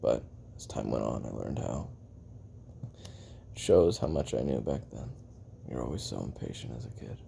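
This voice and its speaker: A bitter, world-weary male assassin, played by a man in an audio drama confession. His low voice is full of gravel and swings widely as he broods and swears between heavy pauses. There is faint noise behind him. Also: expressive, mellow, heavily acted. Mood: brooding, reflective. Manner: brooding, profane, halting delivery with heavy pauses and sighs.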